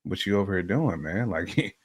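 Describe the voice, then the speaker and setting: American man, fairly deep voice, casual podcast talk, slangy, repetitive emphasis. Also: expressive, normal speed, breathy edge. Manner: slangy, repeats phrases for emphasis. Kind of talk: casual relationship podcast chat.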